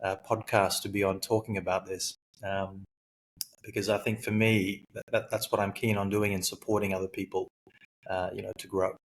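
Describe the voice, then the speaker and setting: Low-voiced man, reflective guest storyteller. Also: little pitch movement, normal speed, slight background noise, rough recording. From Sri Lanka, raised in Australia. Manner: thoughtful, frequent fillers and self-corrections. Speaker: guest, high-performance coach.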